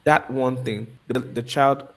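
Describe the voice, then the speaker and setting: A man's voice: medium pitch, steady tone, takes it slowly, a bit bright. A man hosting a live stream and interviewing a guest, who often repeats words and restarts his sentences. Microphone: video call.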